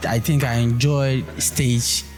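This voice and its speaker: A man's voice: mid-range voice, level tone, slow pace. A man with a Ghanaian accent, an actor talking casually in an interview. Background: music.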